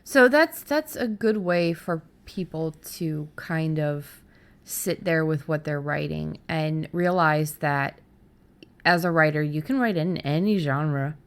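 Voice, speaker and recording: A woman's voice: low-pitched, slow pace, clean tone. A woman hosting a casual podcast conversation, explaining things informally and affirming what her guest says. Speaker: podcast host.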